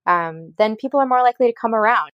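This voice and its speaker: A woman's voice: a little high, animated, fast talker, dry recording, muffled recording. A woman in her thirties from Colombia with an American accent, a podcast guest telling her story in a rambling way with many fillers and restarts. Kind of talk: casual storytelling interview.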